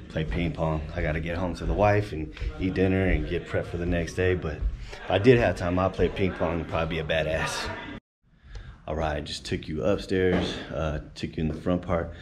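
Deep-voiced man vlogging at the gym. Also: moderate pace, background noise. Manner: relaxed, chatty, laid-back. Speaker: vlogger touring his gym. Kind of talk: casual vlog narration. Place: weightlifting gym, indoors. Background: music.

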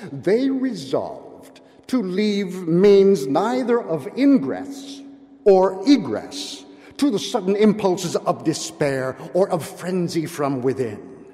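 A middle-aged man giving a dramatic literary reading with deliberate phrasing and theatrical pauses. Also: fairly high pitch, warm tone. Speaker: reader at a town meeting. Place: indoor hall, at a podium before an audience. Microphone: gooseneck podium microphone. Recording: reverberant hall.

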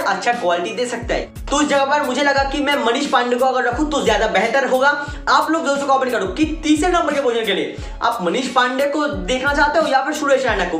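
A man's voice: very high-pitched, fairly bright tone. A young man talking casually to the camera as a cricket channel presenter. He is chatty, talks with his hands and keeps falling back on fillers like "theek hai" and "matlab". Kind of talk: casual to-camera sports chat. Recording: echoey room with faint background music.

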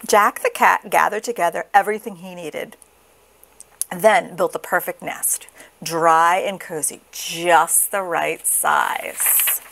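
A woman reading a children's story aloud with expressive, dramatic, deliberate phrasing. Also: fairly low pitch, creaky, slight background noise, low-quality recording. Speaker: storybook reader.

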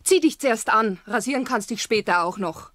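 An actress playing a bossy, reproachful housewife in a radio play, brisk and exasperated, with a Bavarian accent. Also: female voice, fairly high pitch, lively intonation, fairly bright tone, no room sound. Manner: scolding, brisk. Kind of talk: radio play dialogue acting.

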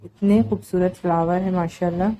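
A woman's voice: on the low side, steady tone, moderate pace, warm tone, low-quality recording. A woman narrating a vlog in a casual, chatty, conversational way. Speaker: market vlogger.

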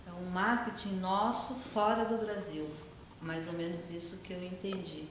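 A woman's voice: lowish voice, steady tone, moderate pace. A woman student thinking aloud hesitantly during a class discussion. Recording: echoey room, noisy.

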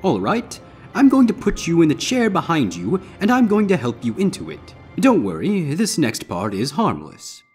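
A man voicing a theatrical, ominous pony stage magician with deliberate showman's patter in a storybook reading. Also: a little high, huge pitch swings, darkish tone, soft background music, voice pushed up. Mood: explaining, ominous. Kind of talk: character voice in audiobook-style fan fiction reading.

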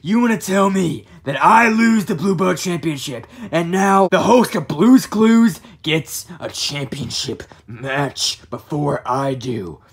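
A man playing an arrogant, bitter former champion wrestler, delivering an aggrieved, confrontational promo in a gravelly voice. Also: on the high side, takes it slowly, few pauses, voice pushed down, heavily acted. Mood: indignant. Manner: aggrieved, confrontational, rhetorical questions.

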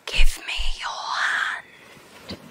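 A woman's voice: extremely slow, bright, forceful tone, full character voice. A woman playing a lonely mermaid in an audio play, wry and coaxing, at times slipping into eerie whispers.

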